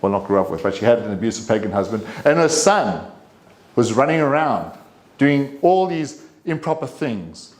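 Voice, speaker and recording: A man in his fifties with a South African accent, giving a reflective personal speech in a deliberate way with dramatic pauses. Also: medium pitch, big pitch swings. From Durban, South Africa. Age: 50s. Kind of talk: prepared personal storytelling speech.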